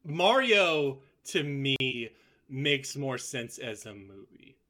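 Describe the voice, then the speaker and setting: A man's voice: mid-range voice, big pitch swings, takes it slowly, bright, forceful tone. A man co-hosting a casual film podcast, blunt and opinionated, swearing casually as he talks. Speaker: podcast co-host.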